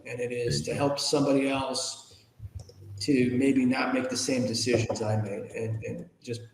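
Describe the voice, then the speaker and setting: A man's voice: mid-range voice, level tone, normal speed, creaky, slight background noise. A man in his fifties or older talking casually as an interview guest, plainspoken and informal, rambling a little. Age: 50s or older. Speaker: podcast guest, ethics speaker and author. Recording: echoey, reverberant room sound.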